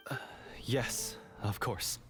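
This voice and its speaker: A remorseful young male hunter from a video game, acted by a man speaks slowly and haltingly. He is meek yet earnest, with music playing behind him. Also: whispered, lightly acted. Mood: earnest, grateful.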